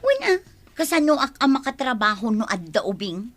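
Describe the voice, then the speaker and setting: Woman acting an aggrieved, high-voiced young mother. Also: very animated, normal speed, soft background music. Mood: defiant, bitter. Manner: plaintive, argumentative. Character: aggrieved young single mother, complaining and defiant. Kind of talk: Ilocano audio drama acting.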